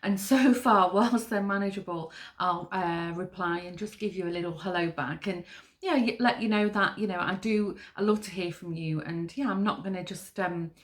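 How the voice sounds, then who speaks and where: A woman's voice: medium pitch, normal speed. A middle-aged woman from Manchester with a northern English accent, hosting her own craft podcast in a chatty, rambling way with lots of fillers. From Manchester, England. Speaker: podcast host. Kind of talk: casual chat.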